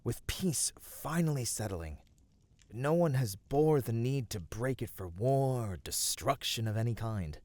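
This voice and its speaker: A man voicing a gentle, noble fantasy adventurer in an ASMR roleplay, speaking soothingly and earnestly in formal, old-fashioned phrasing. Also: medium pitch, lively intonation, measured pace, dry recording, natural voice. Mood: gentle concern. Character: gentle, noble, reassuring male fantasy adventurer comforting a weary friend. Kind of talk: ASMR fantasy roleplay, scripted intimate voice acting.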